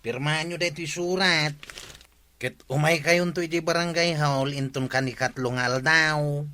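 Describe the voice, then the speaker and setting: A man's voice: a little high, steady tone, moderate pace, bright, forceful tone. A man with an Ilocano accent playing an official, businesslike village messenger in a radio drama. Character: brisk, official village messenger delivering summonses.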